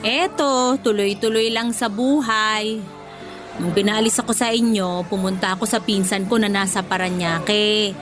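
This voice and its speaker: A woman's voice: medium pitch, fairly even intonation, moderate pace, music in the background, close to an everyday voice. An actress playing an affectionate, motherly older former nanny in a Filipino radio drama, with a Filipino accent. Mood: resigned.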